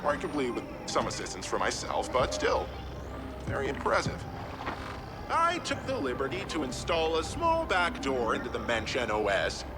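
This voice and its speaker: A man's voice: mid-range voice, wildly swooping pitch, normal speed, loud music behind the voice. A man playing a cocky, wry schemer in a video game, sardonic, familiar and pleased with himself. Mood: smug, chummy. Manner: sardonic, familiar, self-satisfied. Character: cocky, chummy schemer, a wry male ally. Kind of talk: scripted video game dialogue.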